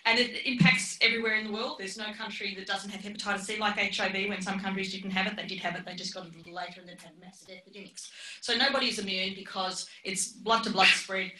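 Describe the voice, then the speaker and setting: A middle-aged woman gives a lecture with a bright, sharp voice and an offhand, self-deprecating manner. She often wanders away from the lectern mic, so she sounds distant in a roomy, echoing hall. A faint hiss sits under the recording. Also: medium pitch. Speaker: public health physician giving a lecture. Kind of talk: informal conference lecture. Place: lecture hall with projected slides. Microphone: lectern microphone, often far from it. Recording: echoey hall, speaker off-mic, faint noise.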